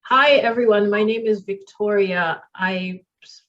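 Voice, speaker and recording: A woman's voice: mid-range voice, measured pace, fairly bright tone, distant mic, lo-fi sound. A woman introducing herself in a workshop on a video call, bemused and laughing between phrases. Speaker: workshop participant.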